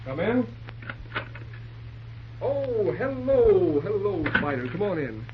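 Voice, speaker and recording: An actor playing a businesslike railroad official laying out plans, with a quick, businesslike manner, in 1940s radio acting. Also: male voice, sits high, sing-song, background noise, exaggerated voice. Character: businesslike railroad official planning a mail run. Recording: dull old radio recording.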